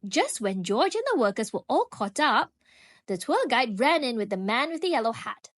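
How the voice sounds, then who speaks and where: A woman reading a children's book aloud in an expressive, animated way, drawing out key phrases for young listeners. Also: fairly high pitch, moderate pace, dry recording, studio quality. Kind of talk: expressive storybook read-aloud.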